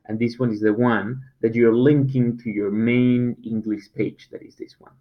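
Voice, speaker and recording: Man, mid-pitched, hesitant and casual tutorial delivery, slightly muffled recording. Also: level tone. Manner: casual, halting, many fillers and restarts. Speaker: web design company owner. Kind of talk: screen-recorded software tutorial. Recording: slightly dull, lo-fi sound.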